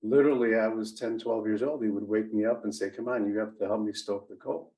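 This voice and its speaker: A man in his sixties whose first language is Italian, telling his life story as an interview guest in a halting way, with many restarts and fillers. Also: on the low side, fairly even intonation, a little mellow, poor audio. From United States. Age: 60s. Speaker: interview guest, business executive. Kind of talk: conversational storytelling.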